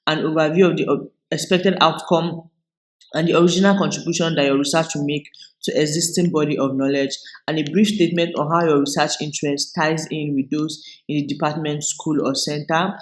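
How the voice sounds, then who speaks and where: Nigerian man walking through a tutorial. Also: on the high side. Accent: Nigerian English. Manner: explains step by step, relaxed, with frequent restarts. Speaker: video host. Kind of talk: screen-recorded tutorial walkthrough.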